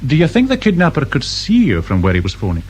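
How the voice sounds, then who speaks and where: An actor playing a deferential, hesitant English police sergeant in a radio drama. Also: male voice, a little high, wildly swooping pitch, fast, darkish tone, husky, heavily acted. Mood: hesitant, polite. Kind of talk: naturalistic British radio drama acting.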